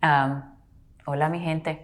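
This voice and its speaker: A woman's voice: deep voice, steady tone, slow pace. A woman from Brazil whose first language is Portuguese, an actor and audiobook narrator talking about her career in a casual interview with a reflective, storytelling manner.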